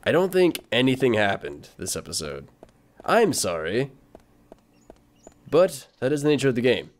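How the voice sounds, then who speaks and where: A man's voice: mid-range voice, wildly swooping pitch, moderate pace. A man hosting a gaming video, giving casual let's play commentary in an informal, playful, chatty way. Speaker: host of a gaming video channel.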